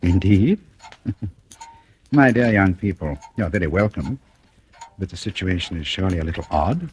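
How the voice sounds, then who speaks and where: An actor in a radio thriller playing a suave, cunning gentleman, coolly menacing, with measured, formal, ironically polite speech. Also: low-pitched, lively intonation, husky, heavily acted. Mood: sardonic, composed. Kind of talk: 1940s radio thriller acting. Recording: noisy old radio drama recording.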